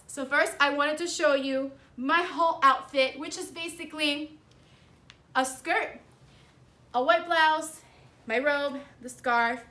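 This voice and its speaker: A young woman teacher chatting casually to the camera in her classroom, upbeat and excitable. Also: high voice. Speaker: fourth grade teacher and vlogger. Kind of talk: casual vlog chat. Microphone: camera propped nearby.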